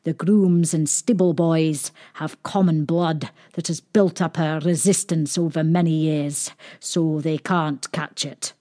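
A woman acts out a crafty fake doctor from a children's fairy tale. He feigns grave, knowing expertise and spins out his lines slowly and deliberately. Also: deep voice, steady tone, mellow. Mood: falsely reassuring.

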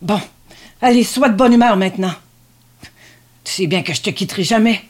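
A woman's voice: medium pitch, moderate pace, natural voice. A woman playing a needy, sardonic grown son devoted to his mother, in a dramatic script reading. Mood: teasing, coaxing.

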